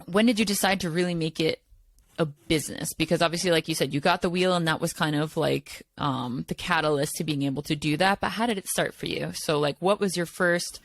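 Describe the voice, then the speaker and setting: Low-pitched woman host, relaxed and rambling, heavy on "like"; dry, close sound. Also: brisk, no echo. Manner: relaxed, filler-heavy, many "like"s. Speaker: podcast host. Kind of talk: casual interview chat.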